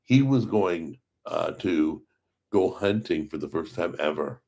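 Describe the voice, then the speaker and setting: Hoarse low-voiced man chatting on a livestream. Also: lively intonation, unhurried, mellow. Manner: rambling, chatty, greets viewers by name. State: hoarse from a paralyzed vocal cord. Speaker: host of a cooking channel livestream. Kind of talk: casual live stream chat.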